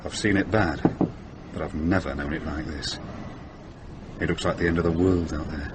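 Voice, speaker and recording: An actor playing a worldly, wisecracking middle-aged van driver in a radio drama, blokey and matter-of-fact. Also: male voice, very deep voice, fairly even intonation, moderate pace. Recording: noisy radio drama with background ambience.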